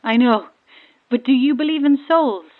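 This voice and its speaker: An actress playing an eerie, self-aware believer in ghosts calling from a stranded boat, conversational and cryptic, through a radio filter. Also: female voice, high-pitched, normal speed, phone-quality sound. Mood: curious, probing. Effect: radio transmission filter. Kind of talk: naturalistic audio horror drama acting. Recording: thin, band-limited marine radio.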